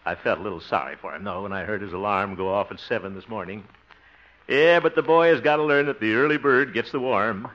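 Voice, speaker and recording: A man's voice: lowish voice, brisk, lightly acted. An actor playing a blustery, self-satisfied, jovial man in 1950s radio comedy, theatrical and full of chuckles and exclamations. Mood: smug, cheerful. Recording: muffled, noisy vintage radio recording.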